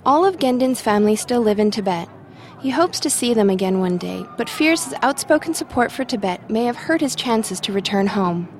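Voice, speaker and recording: Calm woman narrating a news documentary. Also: a little high, moderate pace, a little hiss or hum. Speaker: reporter.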